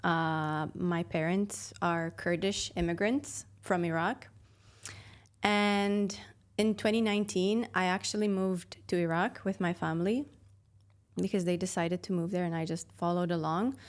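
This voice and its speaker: A woman in her twenties from Sweden speaks in a fairly low voice. She talks in a chatty, reflective way as a podcast guest. She pauses on fillers and laughs softly. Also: steady tone. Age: 20s. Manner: chatty, thoughtful, many fillers and soft laughs. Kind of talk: casual interview chat.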